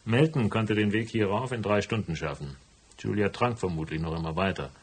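An actor playing a stubborn, hardboiled private detective in a German radio drama, dry, laconic and matter-of-fact. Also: male voice, fairly low pitch, fairly quick, slightly rough. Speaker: voice actor and first-person narrator.

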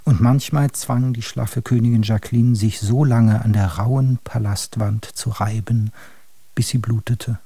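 A man's voice: medium pitch, fairly even intonation, measured pace, gravelly, dark tone, husky, no room sound. A man playing the grandiloquent storyteller of a grim, absurd fairy tale, narrating a scripted radio drama straight to the listeners. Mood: confiding, macabre. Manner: grandiloquent, addressing listeners directly.

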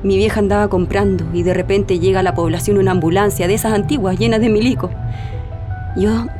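An actress with a Chilean accent playing a grieving daughter who gives sorrowful, reflective testimony in a radio drama. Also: female voice, medium pitch, steady tone, brisk, over music, close to an everyday voice. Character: grieving daughter recounting her father's death.

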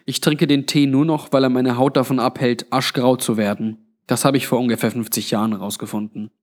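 A man's voice: mid-range voice, level tone, brisk, a little mellow, natural voice. A man voicing a weary, blunt old undead man with a grave, resigned manner in a narrated story. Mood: grave recollection.

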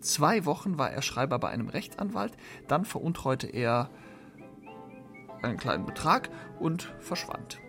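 Wry male storyteller for literary radio drama, higher-pitched, gravelly edge, music behind. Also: big pitch swings, a little slow, heavily acted. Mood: wry, deliberate. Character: wry, hesitant male narrator of a 1920s Paris crook tale. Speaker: narrator in a radio play. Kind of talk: literary radio play narration.

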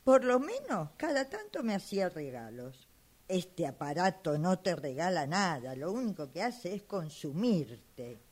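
A woman's voice: on the low side, big pitch swings, a little slow, darkish tone, husky, full character voice. A woman playing an exasperated, insistent elderly widow in an amateur radio drama, complaining in a flustered, dramatic way. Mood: weary, indignant. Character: exasperated elderly widow fed up with her phone.

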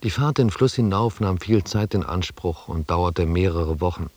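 An actor playing a reflective expedition scribe who narrates a radio drama in a measured, restrained and quietly melancholy way. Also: male voice, low voice, no echo. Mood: sober narrating. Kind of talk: scripted first-person narration in a German radio drama.

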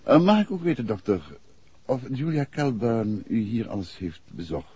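An actor playing a calm, probing amateur detective in a 1960s Dutch radio play, with polite, measured questioning. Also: male voice, medium pitch, breathy edge. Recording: muffled vintage 1960s radio recording.

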